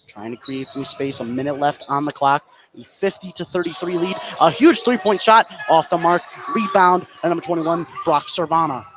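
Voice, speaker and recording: An American man with a fairly high voice does live radio play-by-play of a basketball game. He is calling the action from an indoor gym, with clear noise behind him. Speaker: play-by-play sports commentator.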